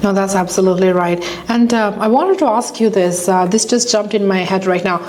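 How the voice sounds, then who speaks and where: A woman's voice: mid-range voice, a little fast, a little mellow. A woman from Sri Lanka hosting a talk show interview, asking encouraging questions with frequent fillers and restarts. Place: Canada.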